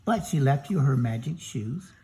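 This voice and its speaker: A man's voice: medium pitch, animated, normal speed, dark tone, lightly acted. A man voicing a kindly, helpful good witch in a storybook reading, close to his own voice. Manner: plain, close to the reader's own voice.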